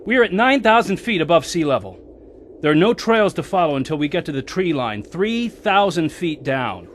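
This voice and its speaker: Adventurous ski instructor, confident, commanding, very lively intonation, radio drama narration and dialogue. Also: male voice, fairly high pitch, normal speed, slight background noise. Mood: urgent, commanding. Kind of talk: radio drama acting and first-person narration.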